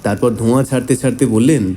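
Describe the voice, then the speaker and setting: A man's voice: mid-range voice, fairly even intonation, fast talker, warm tone. A man narrating a scripted audio story.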